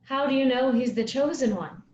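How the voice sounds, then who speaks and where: A woman's voice: high-pitched, moderate pace, darkish tone, lightly acted. A woman playing a bold, playful, loyal younger sister in a staged play reading. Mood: playful, curious. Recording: muffled, roomy, some background noise.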